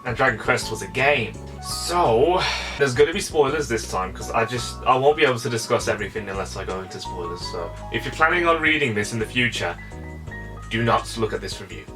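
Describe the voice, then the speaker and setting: A man's voice: medium pitch, lively intonation, a little fast, music in the background. A man narrating a game review in a casual, comedic, chatty style with theatrical exasperation.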